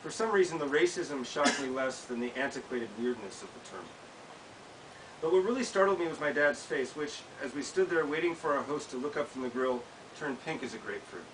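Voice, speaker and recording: A man's voice: mid-range voice, lively intonation, brisk. An American man in his thirties, an author giving a live reading of his personal essay in a small indoor bookshop. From United States. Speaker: author reading from own work. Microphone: camera several feet away. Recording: distant mic, hissy background noise.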